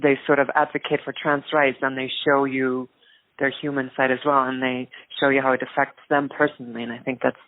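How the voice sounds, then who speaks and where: A woman with an Icelandic accent answers interview questions in a thoughtful, hesitant way. Her intonation stays very even. The recording is dry and narrow, like a call. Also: a bass voice, fairly quick, no echo. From rural Iceland. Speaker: interview guest, activist and filmmaker. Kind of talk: reflective interview talk.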